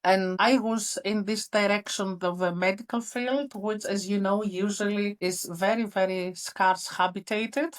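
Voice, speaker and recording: A woman's voice: medium pitch, fairly even intonation, a little slow, hardly any pauses. A middle-aged woman with a Greek accent, talking modestly and candidly as a guest in a casual interview. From Greece. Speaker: podcast guest, veterinary scientist.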